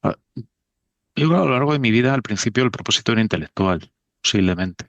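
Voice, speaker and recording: A man's voice: on the low side, animated, fast talker, fairly bright tone, no echo, highs cut off. A middle-aged man from Spain, a podcast guest talking in a thoughtful, self-deprecating way. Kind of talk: casual conversation.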